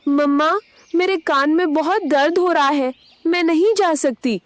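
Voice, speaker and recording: Young female cartoon bird, played by a woman: high voice, cheeky, lazy, sly troublemaker. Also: a little slow. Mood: whiny. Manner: insolent, scheming. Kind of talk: Hindi children's cartoon dub.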